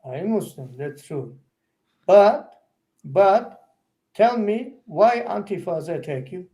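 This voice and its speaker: A Turkish man with a fairly high, mellow voice speaks slowly in broken English. He halts and repeats words while looking for what to say. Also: animated. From Turkey. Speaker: activist. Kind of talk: street debate.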